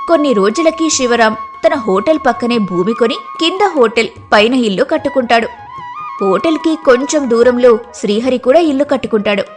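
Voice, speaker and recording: Higher-pitched woman narrating a story. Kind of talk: scripted moral story narration.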